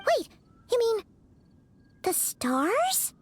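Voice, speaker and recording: A woman voicing a small, childlike, excitable fairy companion in a video game, squeaky and sassy, speaking in exclamations. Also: very slow, slight background noise, exaggerated voice. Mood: puzzled. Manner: exclamatory, refers to herself in third person. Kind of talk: animated video game voice acting.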